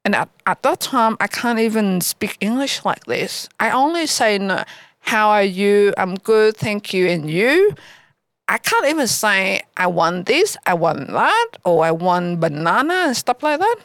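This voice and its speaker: A woman from Vietnam with a Vietnamese accent, speaking haltingly as a guest in an interview in a library. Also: medium pitch, big pitch swings, no room sound, studio quality. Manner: halting, searching for words. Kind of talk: interview answers. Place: local library.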